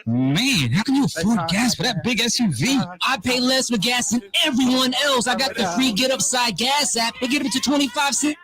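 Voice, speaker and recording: A man acting an excited everyday driver in a scripted commercial. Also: extremely high pitch, fairly quick, hardly any pauses, over music. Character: excited everyday driver keen on saving money. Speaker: commercial actor. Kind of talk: acted dialogue advertisement.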